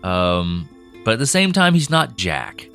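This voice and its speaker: Low-voiced chatty man co-hosting. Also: huge pitch swings, unhurried, vocal fry, fairly bright tone, soft background music. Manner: chatty, laughs often, many restarts. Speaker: podcast co-host. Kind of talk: casual behind-the-scenes discussion.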